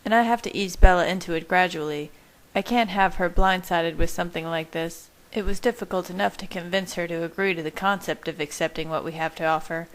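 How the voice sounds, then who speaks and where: Earnest, restrained young vampire man, read by a woman: measured, gentle, somewhat low for a female voice, audiobook dialogue. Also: fairly even intonation, natural voice, rough recording.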